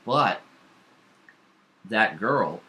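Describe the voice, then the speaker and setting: Young guy w low but sharp voice, chatting in stop-start bits, slight room noise. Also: expressive, drawn-out words. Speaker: vlog co-host. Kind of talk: casual chat about comics and horror films. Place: home kitchen.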